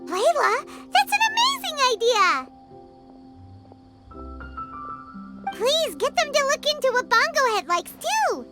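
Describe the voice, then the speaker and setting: A tiny fairy sidekick from an animated game, squeaky and childlike. The voice is extremely high and swings freely, bossy, cheeky and excitable, over prominent music. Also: female voice, moderate pace. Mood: eager.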